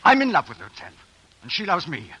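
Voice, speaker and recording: A man's voice: on the high side, wildly swooping pitch, brisk, sharp tone, breathy edge, dull audio, heavily acted. An actor playing an impatient, hotheaded young male traveler who pleads urgently, recorded in a 1940s radio drama. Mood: agitated. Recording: old radio broadcast.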